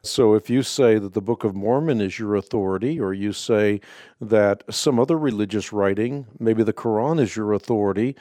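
A man hosting a radio call-in show, native Dutch speaker, teaching in a measured, emphatic, preacherly way. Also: lowish voice, mellow, no room sound. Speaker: radio host answering callers.

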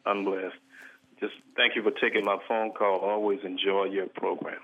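A man's voice: on the low side, level tone, moderate pace. A man calling in to a radio show with an earnest, polite and somewhat rambling question. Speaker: caller. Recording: thin phone line.

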